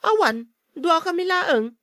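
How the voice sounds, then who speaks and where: A woman's voice: very high-pitched, big pitch swings, slow, a bit bright, no echo, heavily acted. A woman acting a defiant, gossiping daughter in a radio drama, argumentative and whining. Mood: indignant. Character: defiant, gossiping daughter who insists she saw it.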